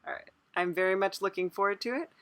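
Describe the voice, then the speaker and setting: A woman's voice: medium pitch, quick pace, a crowd in the background. A woman hosting a casual interview show, encouraging and agreeable as she chats with her guest.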